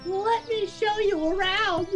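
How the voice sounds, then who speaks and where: Very high cartoonish young guy. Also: slow, fairly bright tone, heavily acted. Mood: chatty. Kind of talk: amateur visual novel character dubbing. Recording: music behind the voice.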